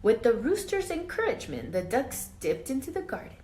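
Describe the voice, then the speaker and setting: A woman reading a children's picture book aloud expressively, with dramatic emphasis and hushed asides, pausing to question young listeners like a teacher. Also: a little high, big pitch swings, faint music behind. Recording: roomy echo with faint background noise.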